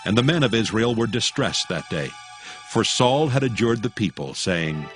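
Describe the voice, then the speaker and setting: A man narrates scripture in a solemn, dramatic storytelling style. His voice is low and measured. Music is clearly heard beneath the reading. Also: lively intonation.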